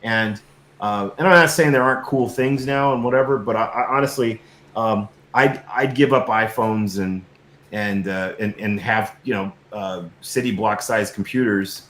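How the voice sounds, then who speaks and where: A middle-aged man speaking as an interview guest and filmmaker, telling stories casually in an earnest, reflective, halting way with emphatic repetition. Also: on the low side, fairly bright tone. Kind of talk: casual conversational storytelling. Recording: roomy echo, faint background noise.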